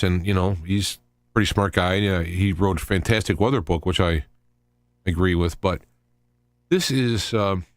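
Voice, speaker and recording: A man with a lowish voice talks as a radio host in a very dry-sounding space. He explains things informally and at length, wandering as he goes and often restarting words in a halting way. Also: no echo. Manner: rambling, explanatory, halting with repeated word starts. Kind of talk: informal live radio talk monologue.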